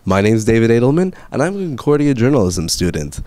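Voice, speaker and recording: A man's voice: medium pitch, fast talker. A young man in his twenties, a student host, giving friendly tips straight to the viewer. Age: 20s. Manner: lively, friendly, addressing viewer. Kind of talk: upbeat guide to camera.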